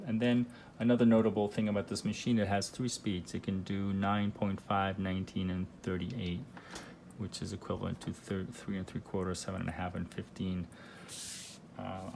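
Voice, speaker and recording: A man presenting a casual product demo in a halting, unscripted, explanatory way. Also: low voice, creaky, background noise. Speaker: presenter demonstrating vintage audio gear.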